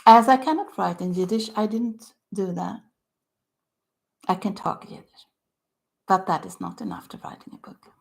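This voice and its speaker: An older woman with a Swedish accent, speaking English in halting phrases, her voice dropping to a soft near-whisper at the ends of phrases as she talks about her own book. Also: mid-range voice, vocal fry. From Sweden. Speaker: author. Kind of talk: self-recorded book talk.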